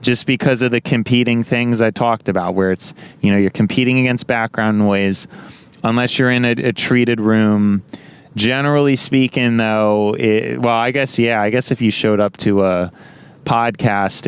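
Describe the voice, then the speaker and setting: Loud rambling young man, very clear. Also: medium pitch, normal speed. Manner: rambling, self-correcting, excitable. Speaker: vlogger. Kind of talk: casual rambling monologue.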